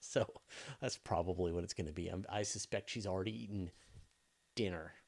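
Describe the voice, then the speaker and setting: Guy with a lowish voice hosting a live q&a, casual, kinda thinking out loud as he goes. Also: a little fast, creaky. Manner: informal, thinking aloud in broken phrases. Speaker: host of a space news channel.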